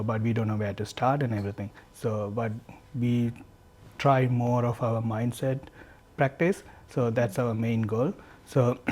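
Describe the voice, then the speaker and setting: A man's voice: mid-range voice, a little mellow. Earnest Sri Lankan man, podcast guest. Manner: earnest, repeats and restarts phrases. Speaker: guest, candle business founder. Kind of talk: podcast interview, casual.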